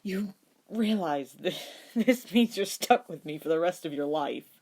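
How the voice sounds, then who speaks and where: A woman voicing a brash, gruff young man with strong feelings in a fan fiction reading. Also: fairly low pitch, expressive, a little slow, a little mellow. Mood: choked, overwhelmed. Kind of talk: acted fan fiction podfic dialogue.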